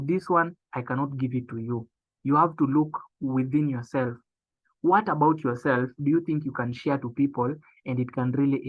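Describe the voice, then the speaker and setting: A young man gives a motivational business training talk in a voice of ordinary pitch for a man. He is emphatic and repeats his key phrases, slowing right down to drive each point home. The sound is thin and tinny, as if it came through a phone line.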